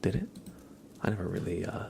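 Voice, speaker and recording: A man's voice: deep voice, sing-song, brisk, vocal fry, darkish tone, a little hiss or hum. A young man whispering casual, rambling chat as the host of an ASMR livestream, often hesitating.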